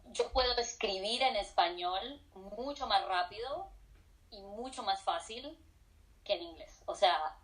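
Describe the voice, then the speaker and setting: Slow-talking bilingual Colombian woman. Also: medium pitch, low-quality recording. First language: Spanish. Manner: switches between Spanish and English mid-sentence; hesitant, many fillers and restarts. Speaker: professor; interviewee. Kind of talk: casual interview answers.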